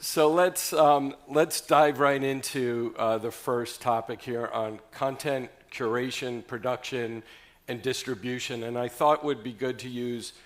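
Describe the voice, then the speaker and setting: A man in the moderator's seat at a radio industry conference panel, speaking at an ordinary mid pitch. He runs through the agenda in a brisk, orderly way and hands the floor to each guest in turn. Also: steady tone.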